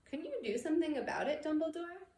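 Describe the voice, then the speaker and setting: A woman voicing a stern, brisk, anxious female professor with a prim, scolding manner in a storybook reading. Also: high-pitched, big pitch swings, darkish tone, pitched above the performer's own voice. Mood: concerned. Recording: echoey room with background noise.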